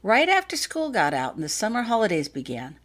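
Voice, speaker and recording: A woman's voice: mid-range voice, huge pitch swings, a little fast, fairly bright tone, pitched above the performer's own voice. A woman voicing a sick young girl in a storybook, polite, shy and eager, in an earnest, childlike manner. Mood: sad, earnest. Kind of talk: storybook character dialogue.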